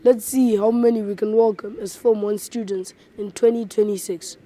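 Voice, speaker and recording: A woman's voice: mid-range voice, fairly even intonation, normal speed, warm tone, slight background noise. A woman presenting scripted school newsreel narration as a news reader.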